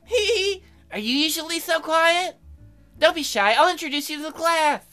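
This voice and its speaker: A woman's voice: very high voice, expressive, normal speed, bright, forceful tone, soft background music, voice pushed up, full character voice. A woman voicing a cheerful, eager shark schoolgirl in a visual novel, perky and earnest. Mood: friendly, curious.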